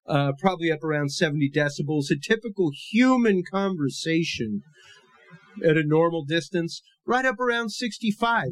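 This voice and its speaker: Male podcast host, big full voice a touch on the high side, dry close sound, easygoing explainer. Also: no room sound. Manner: conversational, loose and explanatory. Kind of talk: casual educational podcast monologue.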